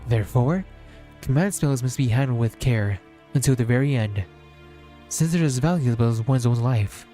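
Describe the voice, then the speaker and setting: A man's voice: mid-range voice, lively intonation, moderate pace, dark tone, faint music behind. A man streaming as a VTuber, reading a visual novel aloud as narration.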